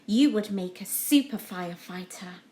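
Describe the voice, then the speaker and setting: A woman's voice: mid-range voice, normal speed, mellow, natural voice. A woman voicing a friendly, encouraging firefighter in a children's storybook, upbeat and reassuring. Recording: echoey room.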